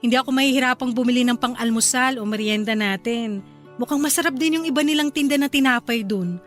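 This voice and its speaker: A woman's voice: a little high, fast talker, soft background music, natural voice. An actress playing a caring young wife and new mother in a radio drama, sweet and affectionate. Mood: tender.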